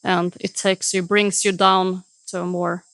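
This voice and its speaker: A woman's voice: on the low side, level tone, measured pace, a bit bright, no room sound. A woman, answering an interview question reflectively and hesitantly. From Sweden. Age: 30s. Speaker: interviewee, fitness coach.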